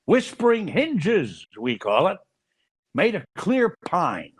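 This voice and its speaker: Husky, eccentric shopkeeper. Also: male voice, high voice, huge pitch swings, takes it slowly, heavily acted. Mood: salesmanlike. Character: eccentric, folksy door salesman. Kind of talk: screwball radio sketch acting.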